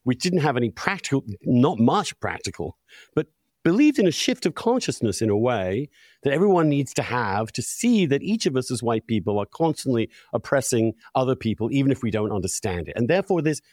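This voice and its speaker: Male podcast host, middle-aged, emphatic and opinionated, very lively intonation, close dry recording. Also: mid-range voice, brisk, no room sound. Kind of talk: conversational podcast discussion.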